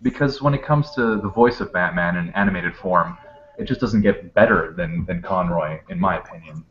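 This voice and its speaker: A man with a very low voice chats casually as a guest voice actor. He is on an internet call that sounds dull, with faint noise behind him. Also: moderate pace, fairly bright tone. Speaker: voice actor, guest in cast chat. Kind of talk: casual chat, reminiscing. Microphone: video call. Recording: muffled, noisy internet call.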